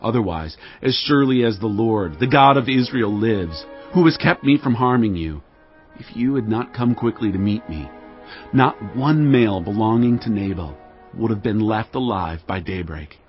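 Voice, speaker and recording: An actor playing a fierce, devout warrior leader in a dramatized scripture reading, speaking in a forceful, solemn, declamatory way. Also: male voice, fairly low pitch, animated, normal speed, dull audio, soft background music, natural voice. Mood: grateful, solemn.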